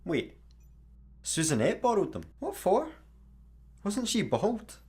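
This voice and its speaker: An actor with a Scottish accent playing a jumpy, sarcastic, bumbling satellite engineer in a sci-fi comedy radio play. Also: male voice, sits high, takes it slowly, heavily acted. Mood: curious, teasing. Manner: excitable, stammering, sarcastic.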